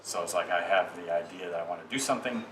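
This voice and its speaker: A man's voice: low-pitched, quick pace. An American man talking casually as a guest in a group conversation. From United States. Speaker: guest in discussion. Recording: echoey room, slightly noisy, distant mic.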